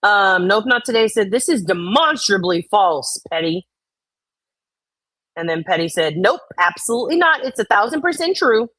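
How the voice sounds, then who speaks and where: Female co-host, casual and outspoken, mid-pitched voice, very dry sound, blunt delivery with swearing. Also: animated, moderate pace, fairly bright tone, slightly rough, dry recording. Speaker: co-host reading and reacting to viewer comments. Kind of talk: casual chat with read-aloud comments.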